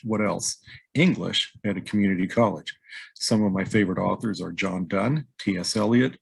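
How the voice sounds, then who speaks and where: A middle-aged man hosting a podcast, reading a scripted intro and then speaking haltingly with many ums and uhs. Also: fairly low pitch, vocal fry, breathy edge, no echo, low-quality recording. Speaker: podcast host. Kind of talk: scripted intro, then hesitant interview talk.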